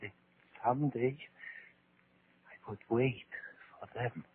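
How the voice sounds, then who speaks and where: Dread-filled German soldier, mid-pitched, halting, fixated, 1940s horror radio, narrow crackly sound. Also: male voice, steady tone, husky. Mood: haunted, halting. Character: haunted, guilt-ridden German soldier, broken and dread-filled. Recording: scratchy, narrow-band 1940s radio recording.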